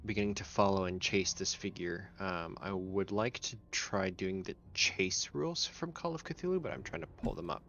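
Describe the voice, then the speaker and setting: A man narrating a tabletop game as game master in a halting, informal way, with muffled sound. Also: deep voice, a bit bright. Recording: muffled audio over background music. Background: music.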